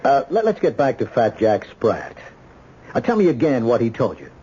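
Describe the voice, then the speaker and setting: An American actor in a radio mystery playing a measured, skeptical police lieutenant who talks in a hesitant, conversational way. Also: male voice, mid-range voice, very animated, dark tone, lightly acted. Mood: reasoning. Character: measured, skeptical male police ballistics lieutenant, helpful underneath. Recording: dull, noisy old radio broadcast.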